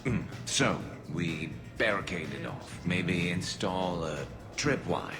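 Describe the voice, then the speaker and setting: A man's voice: sits low, expressive, slow, over music. A man playing a wry, battle-hardened alien soldier in a video game, musing dryly like a tactician. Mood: dry, scheming. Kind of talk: video game character acting.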